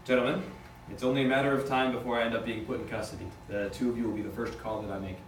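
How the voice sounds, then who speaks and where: A man's voice: mid-range voice, fairly even intonation, very fast, natural voice. A man reading the part of an accused businessman, blunt and resigned, in an amateur script table read. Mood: grim, resigned. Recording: distant, echoey room with faint noise.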